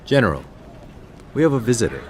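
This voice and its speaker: An actor playing an earnest warrior in a video game who reasons with a friend in a formal, urgent way. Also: male voice, mid-range voice, sing-song, fast talker, lightly acted. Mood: serious. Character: earnest male warrior pleading with his friend. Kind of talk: cinematic video game acting.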